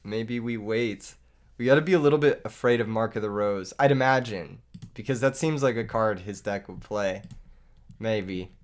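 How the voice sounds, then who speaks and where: A man's voice: on the low side, normal speed. Young guy with a shot voice, doing game commentary, jokey and self-mocking, laughs a lot. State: lost voice from illness. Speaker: gaming YouTuber. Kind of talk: live gameplay commentary.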